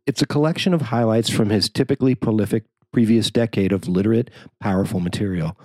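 A man's voice: on the low side, quick pace, mellow, no room sound. A man reading a written magazine-style profile aloud as a journalist narrator.

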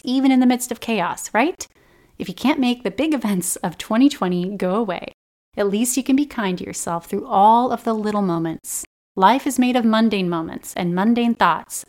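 Earnest, slightly raspy woman podcaster. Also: medium pitch. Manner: earnest, gentle and encouraging. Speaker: podcast host. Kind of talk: personal self-help podcast monologue.

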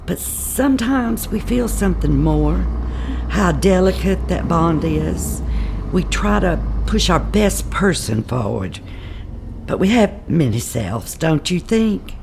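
An actress playing a genteel, wealthy elderly Southern belle, a former nurse, reflective and measured, in an audio play. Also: female voice, on the low side, big pitch swings, gravelly, background noise, exaggerated voice. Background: bus or vehicle ambience.

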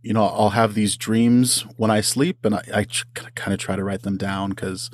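A man's voice: fairly low pitch, steady tone, moderate pace, no echo, studio quality. A man talking casually as an interview guest and writer, with a self-deprecating, good-humoured way of speaking. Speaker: audio drama writer.

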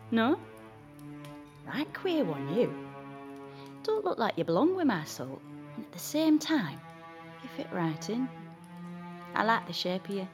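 An actress playing a cheeky, earthy 1820s coaching guard, blunt and familiar in a period audio drama. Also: female voice, fairly low pitch.

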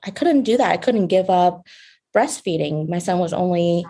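Young woman from Vietnam, beauty founder; soft, unhurried guest storytelling with small laughs. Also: lowish voice, animated, slightly rough. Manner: soft-spoken, fillers and restarts, light laughs. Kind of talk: casual interview talk.

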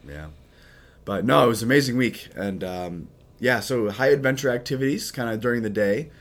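A man with a raspy, recovering voice, a priest co-hosting a podcast, chatting casually and rambling with plenty of fillers. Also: fairly quick. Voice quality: low, husky. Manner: relaxed, rambling with many fillers. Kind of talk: casual chat.

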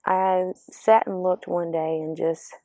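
A woman speaks slowly and earnestly, coaching the listener with gentle questions. Her voice is gravelly with a dark, mellow tone. Birdsong drifts in behind the dry recording. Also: lowish voice, little pitch movement, no room sound. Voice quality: slightly raspy. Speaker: vlogger giving spiritual encouragement. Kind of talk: reflective motivational monologue. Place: outdoors among birds.

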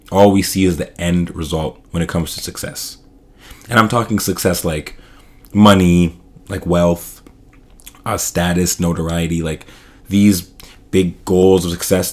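Deep-voiced podcast host, a little hoarse. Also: male voice. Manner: casual, upbeat, loose filler-heavy delivery. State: slightly hoarse. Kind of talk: solo podcast monologue.

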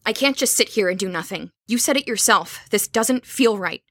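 A woman's voice: medium pitch, moderate pace, fairly bright tone, no room sound, clean studio sound, natural voice. A woman playing a worried, determined young roommate in an audio drama, direct and insistent. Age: young adult.

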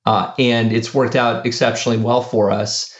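A man, a company founder, answering interview questions in a halting way with many fillers and restarts. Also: mid-range voice, flat delivery, reverberant, highs cut off. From United States.

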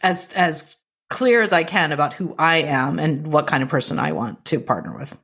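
A woman with a low-pitched voice hosts a conversational interview. She speaks candidly and informally, circling a little as she works out each question. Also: expressive, moderate pace, a bit bright, husky. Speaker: interview show host.

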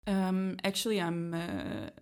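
A young woman raised in Canada, chatting as a podcast guest about her work, often pausing with ums and restarting sentences. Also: fairly low pitch, big pitch swings, darkish tone. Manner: hesitant, many ums and restarts. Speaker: guest, project leader. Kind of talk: casual interview chat.

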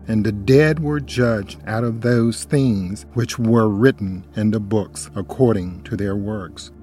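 A man co-hosting a scripted radio Bible study, reading scripture solemnly and urging the listener on. His voice sits at a middle pitch, and gentle music plays under it. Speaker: co-host and Bible study presenter.

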